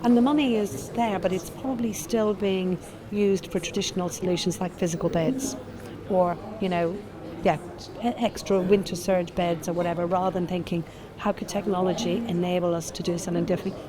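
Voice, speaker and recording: A woman, a nurse by background, talks through her views at a busy event. She thinks out loud, with restarts and an earnest tone. A dense crowd chatters behind her. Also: lowish voice, fairly even intonation, brisk. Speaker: nurse and transformation consultant. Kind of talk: panel interview at an event. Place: conference event.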